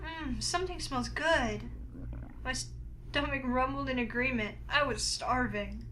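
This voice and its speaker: Girl narrating a visual novel, high bright voice, acts out the main character's lines. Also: unhurried, slight background noise, rough recording. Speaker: game player and narrator. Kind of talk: reading a visual novel aloud, narration and heroine's lines acted.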